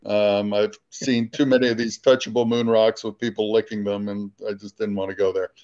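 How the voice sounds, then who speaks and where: An older man, a guest speaker in an online meeting, explains an outreach program informally, in a measured way with pauses mid-sentence. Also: lowish voice, flat delivery, darkish tone. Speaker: guest speaker from a space research institute. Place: small room at home, indoors. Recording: dull lo-fi video-call audio.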